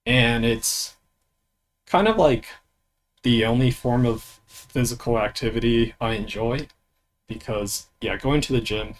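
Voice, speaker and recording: A young man streaming a casual self-introduction as a VTuber, rambling and halting with fillers, laid-back and swearing now and then. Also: medium pitch, a little hiss or hum, rough recording.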